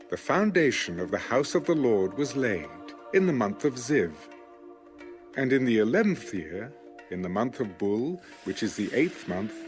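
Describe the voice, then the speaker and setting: A male narrator reads scripture in a measured, solemn way. His pitch moves in a lively range, and faint music plays behind him. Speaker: Bible narrator.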